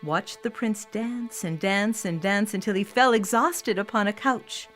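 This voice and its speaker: A woman's voice: medium pitch, measured pace, fairly bright tone. A woman storyteller reading a scripted fairy tale for children, with music behind her voice.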